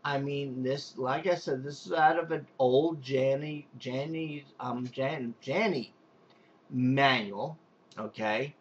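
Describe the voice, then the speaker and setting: A man with a thick Long Island accent talks through a how-to demonstration off the cuff, drifting into asides and restarting his sentences. He sits at an everyday middle pitch. The recording is a little muffled and lo-fi. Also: slow pace. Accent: Long Island New York. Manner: rambling, loose, with many restarts and fillers. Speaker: pool and spa technician.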